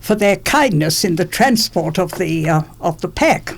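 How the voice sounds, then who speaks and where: An elderly woman speaks at a middling pitch for a woman. She is reminiscing as a guest in a radio interview. She repeats phrases to press a point home. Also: lively intonation, measured pace, vocal fry. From England, born in London. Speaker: interviewee, former politician.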